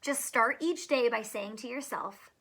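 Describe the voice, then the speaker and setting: A woman's voice: fairly high pitch, a little slow, sharp tone. A woman teacher reading a picture book aloud to children, adding encouraging asides and questions.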